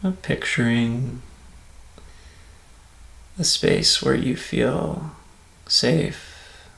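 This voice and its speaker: A man softly and calmly guiding a meditation in an ASMR style, in measured phrases that sometimes drop to near-whisper. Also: mid-range voice, reverberant. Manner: soft-spoken, breathy near-whisper at times, calm and soothing. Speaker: meditation guide.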